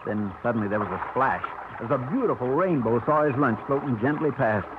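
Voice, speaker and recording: A man narrating a 1950s radio drama in a measured, storytelling manner. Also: medium pitch, background noise. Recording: tinny old radio broadcast with music.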